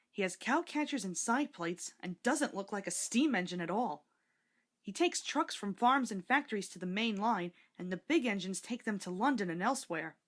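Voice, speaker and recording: A woman reading a scripted children's story aloud as narrator. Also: mid-range voice.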